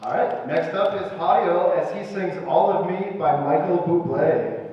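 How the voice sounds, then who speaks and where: A man's voice: high voice, a little slow. A man emceeing a school pageant, announcing to a live audience in an upbeat, playful showman manner. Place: large echoey school auditorium. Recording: far-off echoey hall, muffled, noisy.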